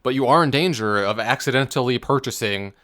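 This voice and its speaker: A man's voice: mid-range voice, brisk, fairly bright tone. A man co-hosting a casual gaming chat, explaining things in a loose, hesitant way with frequent asides. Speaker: podcast co-host.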